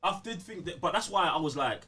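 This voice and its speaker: Animated London man, slightly high voice, off-mic. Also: brisk, recorded from a distance. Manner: slangy, emphatic, repeatedly checking the listener follows. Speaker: podcast co-host. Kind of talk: casual game review chat.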